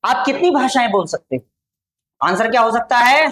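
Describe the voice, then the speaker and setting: A woman with an Indian accent teaching spoken English to Hindi-speaking students, slowly dictating model answers and repeating each phrase. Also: on the high side, sing-song, a bit bright.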